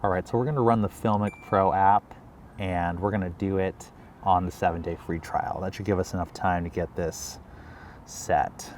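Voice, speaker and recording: A man's voice: low voice, steady tone, moderate pace, darkish tone, slight background noise. A man chatting casually as a video creator and host of a vlog.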